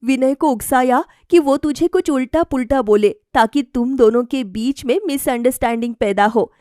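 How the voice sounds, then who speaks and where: A woman's voice: sits high, darkish tone, no echo, studio quality. A woman voicing a scheming middle-aged mother in a cartoon moral story, with an emphatic, dramatic way of talking.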